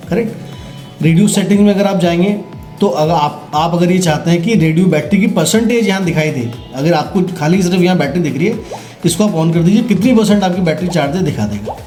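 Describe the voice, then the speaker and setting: A man's voice: high-pitched, animated, a little fast, mellow. A man with an Indian accent giving a casual, explanatory product demonstration, often checking in with listeners. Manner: explanatory, checks in with listeners using tag questions. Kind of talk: casual instructional product demo. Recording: roomy echo, faint music behind.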